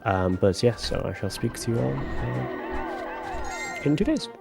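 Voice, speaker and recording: A man's voice: medium pitch, sing-song, unhurried, gravelly, over music. A man casually hosting a gaming marathon stream, polite and a little hesitant as he reads out notices. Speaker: marathon host.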